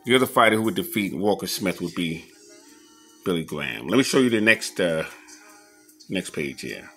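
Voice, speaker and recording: A man giving a casual show-and-tell commentary as an enthusiastic collector, halting a little as he reads old clippings aloud. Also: low voice, expressive, bright, forceful tone, faint music behind. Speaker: boxing memorabilia collector showing his scrapbooks on video.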